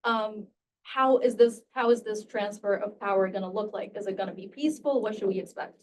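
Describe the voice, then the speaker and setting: A woman with a mellow, warm tone moderates a policy panel. She strings several pointed questions together one after another. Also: mid-range voice. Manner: asks layered questions in quick succession.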